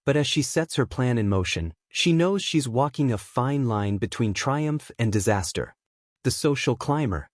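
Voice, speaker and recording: A man's voice: mid-range voice, lively intonation, normal speed, dry recording, studio quality. A man reading a dramatic fiction story as a scripted audiobook narrator.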